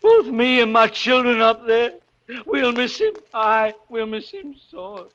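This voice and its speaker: A man's voice: very high-pitched, expressive, unhurried, fairly bright tone, exaggerated voice. An actor playing an old bell-ringer in a 1940s radio drama, crooning fervently in folksy archaic dialect about bells he treats as his children. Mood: grieving. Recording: muffled vintage radio broadcast with music.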